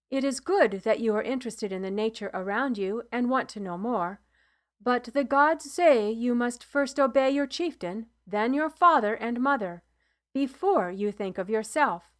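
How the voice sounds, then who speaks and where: A calm, gentle male healer who speaks in a measured, instructive way, as a woman reading a story puts him on. His voice is fairly high and stays steady and patient, close to the reader's own.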